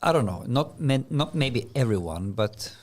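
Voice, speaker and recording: A man's voice: mid-range voice, expressive, normal speed. A man co-hosting a podcast, chatting enthusiastically, often repeating words and jumping in with questions. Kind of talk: casual conversation.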